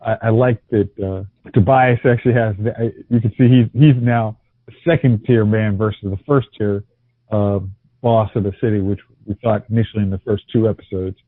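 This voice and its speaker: Lower male co-host, halting and restarting, dry tinny phone-like audio. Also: steady tone, no room sound. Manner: hesitant, many restarts and fillers. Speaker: podcast co-host. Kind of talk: casual TV review chat.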